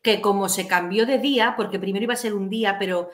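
A woman's voice: medium pitch, fast. A middle-aged woman with an accent from Spain, chatting casually as a host on a video call. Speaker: channel host. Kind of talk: casual chat. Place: indoor room, on a video call.

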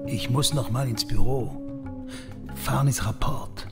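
An actor playing a driven, suspicious male police investigator in a radio crime drama, with a rough, husky voice and terse, probing delivery. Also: mid-range voice, slow, over music. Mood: focused.

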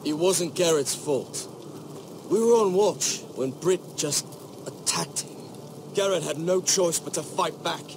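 A man's voice: fairly high pitch, slow pace, noisy background. An actor playing an earnest, defensive young male watchman in a dramatic video game, speaking insistently. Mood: earnest testimony.

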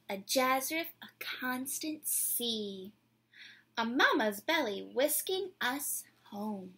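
A woman in her twenties reading a picture book aloud to children, with playful, deliberate, dramatic phrasing, recorded at home in a small room. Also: fairly high pitch, a bit bright, husky. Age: 20s. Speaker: children's read-aloud presenter.

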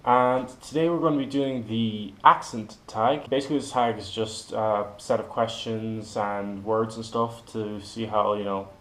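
A young man whose Cork Irish accent is shaded with British and American tones talks through a list of questions in a relaxed, rambling way. He sounds like he is sitting close to a camera mic in his kitchen at home. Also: on the low side, unhurried, few pauses, a little hiss or hum. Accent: Cork Irish, mixed with British and American. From Ireland. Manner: relaxed and rambling, reads words out one by one. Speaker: vlogger. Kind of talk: casual chat answering a question list. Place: kitchen at home, indoors, small.

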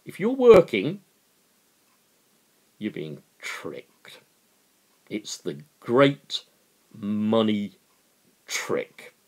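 A middle-aged man giving a dramatic reading and impassioned political commentary, emphatic and deliberate, with dramatic pauses. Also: on the low side, huge pitch swings, darkish tone. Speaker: amateur reader and political commentator. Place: small living room at home, indoors.

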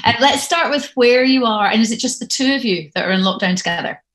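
A woman's voice: a little high, fast talker, a bit bright. A British woman in her forties interviewing guests in a casual, chatty way over a video call from home. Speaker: radio interview host. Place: living room at home, indoors. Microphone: video call device, at arm's length.

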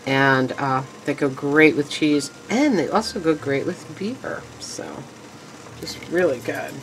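Low-voiced woman chatting through a home cooking lesson. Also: expressive, slow pace, noisy background. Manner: chatty, enthusiastic, addresses viewers as guys. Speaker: home cooking show host. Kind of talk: casual cooking demonstration. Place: home kitchen at the stove.

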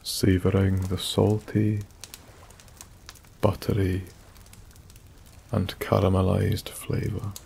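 A Scottish man narrating a bedtime story in a soft-spoken, soothing ASMR style, with long pauses. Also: low voice, completely flat delivery, gravelly. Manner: slow, soothing, hushed delivery with long pauses. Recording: faint background noise. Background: soft nature ambience.

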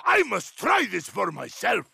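A man playing a huge, boisterous, muscle-proud wrestler in a video game, bombastic and hearty with a gravelly voice. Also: sits high, huge pitch swings, unhurried, pushed and bright, dry recording, studio quality, full character voice. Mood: hearty, excited.

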